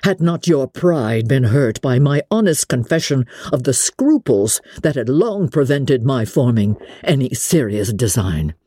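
A woman voicing a proud, haughty gentleman from a novel, speaking in a formal, measured and disdainful manner. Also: rumbling low, animated, husky, dry recording, clean studio sound, full character voice. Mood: proud, bitter. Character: proud, haughty wealthy gentleman, contemptuous and stiff.

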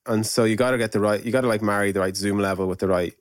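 A man's voice: fairly low pitch, fairly even intonation, racing, no room sound, studio quality. A man explaining his thinking in a casual podcast conversation, informal and thinking aloud with frequent asides. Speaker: podcast guest discussing product principles and process.

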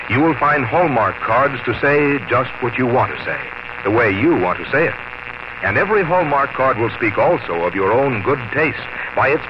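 A man delivering a scripted 1950s radio sponsor message as announcer, in a polished, earnest way. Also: medium pitch. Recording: muffled, noisy 1950 radio broadcast.

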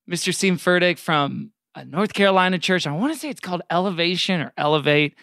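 A man's voice: sits high, animated, fast, pushed and bright, no room sound, studio quality. A man co-hosting a podcast in a casual, irreverent, rambling style of joking banter. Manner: irreverent, mock-reverent, rambling. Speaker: podcast co-host.

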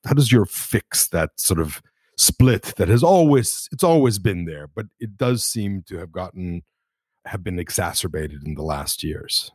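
Deep husky guy from italy, native italian, asking questions, dry sound. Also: animated, a little slow, a little mellow, no echo. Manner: conversational, thoughtful questioning. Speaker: interviewer. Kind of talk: interview questions.

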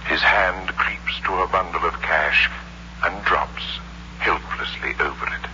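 An actor narrating a radio crime tale in a measured, grave, ominous style. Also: male voice, low-pitched, pushed and bright, exaggerated voice. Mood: grave, suspenseful. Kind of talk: scripted dramatic radio narration. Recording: muffled, noisy old radio recording with hum.

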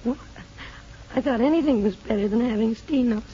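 A dull old radio recording carries an actress playing a desperate young mother. Her voice sits high, and she pleads in halting, emotional phrases in the manner of 1950s radio drama. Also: mellow, natural voice. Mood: bitter, anguished.

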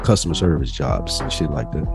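A man co-hosting a casual podcast, talking in a slangy, animated storytelling way with some swearing. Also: very deep voice, fairly quick, gravelly, over music. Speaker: podcast co-host.

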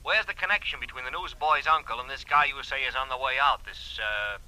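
Sharp, forceful radio police lieutenant. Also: male voice, mid-range voice, brisk, low-quality recording. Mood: puzzled, impatient. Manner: blunt, questioning delivery. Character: gruff, puzzled male police lieutenant. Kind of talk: 1940s radio drama acting.

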